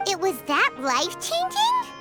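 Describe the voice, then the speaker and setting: A woman voicing a tiny, naive, chirpy childlike fairy companion with a squeaky voice in a video game. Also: huge pitch swings, unhurried, exaggerated voice. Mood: curious, amazed. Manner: squeaky, wide-eyed, speaks in third person. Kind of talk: scripted video game character acting. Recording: loud background music under dialogue.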